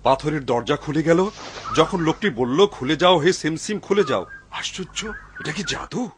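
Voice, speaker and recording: Humble, devout cartoon woodcutter, played by a man: expressive, lively pitch swings, cautious and kind, audible background noise. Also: moderate pace, natural voice. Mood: astonished, wary. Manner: expressive, musing aloud to himself. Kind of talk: dubbed cartoon voice acting. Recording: clear background noise behind the voice.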